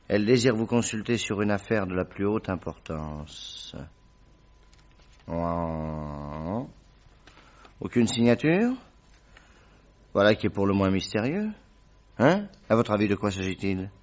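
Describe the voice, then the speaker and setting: An actor playing a genial gentleman doctor, the loyal friend of a detective, in a measured and articulate radio drama style. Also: fairly low pitch, close to an everyday voice. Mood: puzzled, musing. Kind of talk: vintage French radio drama narration. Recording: dull old radio broadcast recording.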